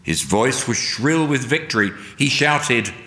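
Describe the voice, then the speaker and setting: Slow, bright-voiced man in a reverberant hall. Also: medium pitch, animated. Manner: deliberate, emphatic oratory. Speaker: reader at a church service. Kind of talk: solemn dramatic scripted narration.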